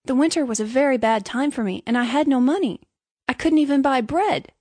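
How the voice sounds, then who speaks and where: A woman's voice: high-pitched, brisk, darkish tone, dry recording, voice pushed up. A woman voicing a naive, good-hearted little man in a storybook, earnest, apologetic and eager to please. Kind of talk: storybook character voice.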